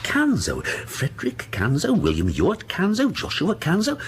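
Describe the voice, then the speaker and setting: An actor playing a jovial, curious theatrical friend in a radio drama, exuberant and teasing. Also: male voice, fairly high pitch, huge pitch swings, moderate pace, slight background noise. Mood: breezy, amused.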